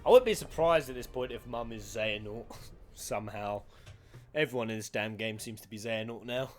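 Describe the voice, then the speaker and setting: A man's voice: fairly low pitch, fairly quick, a little mellow, faint music behind. A man doing casual live reaction commentary as a game streamer, jokey, sweary and prone to exclaiming.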